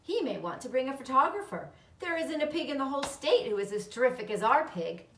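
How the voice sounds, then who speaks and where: A woman's voice: high voice, big pitch swings, fairly quick, a bit bright, a little hiss or hum, exaggerated voice. Woman as storybook farmer, male, proud, bossy, emphatic orders, roomy sound, away from the mic. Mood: proud, excited. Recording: roomy echo, distant mic.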